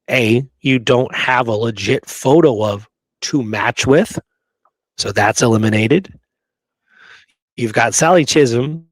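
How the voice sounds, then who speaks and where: A man's voice: mid-range voice, no room sound, rough recording. A man co-hosting a casual history discussion, talking emphatically and often checking in with "right?". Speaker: podcast co-host.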